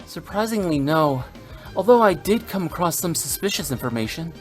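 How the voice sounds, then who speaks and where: A woman playing a composed, businesslike resort manager in a fantasy game, speaking in a measured, explanatory way. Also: low voice, lively intonation, darkish tone, soft background music. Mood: serious. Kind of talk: scripted video game dialogue.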